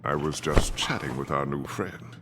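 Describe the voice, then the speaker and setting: A man's voice: extremely low pitch, moderate pace. An actor playing a menacing, smug villain in a video game, sneering and mock-polite as he threatens. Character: menacing, smug fairy-tale villain, impatient strongman interrogator. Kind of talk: video game dramatic acting. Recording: loud music behind the voice.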